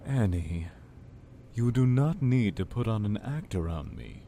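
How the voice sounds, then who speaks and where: Male actor as manipulative cult lord, lowish pitch, widely swinging intonation, condescending formal speech, audio drama, faint noise behind. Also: lively intonation, unhurried, warm tone. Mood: falsely tender. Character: manipulative, falsely tender cult lord, adult man.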